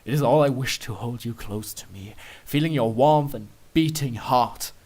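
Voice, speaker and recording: Man playing a polite, earnest pony guard, medium-low delivery. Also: normal speed, darkish tone, natural voice. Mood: earnest pleading. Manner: formal, pleading, theatrically earnest. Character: polite, earnest male pony royal guard, flustered but wry.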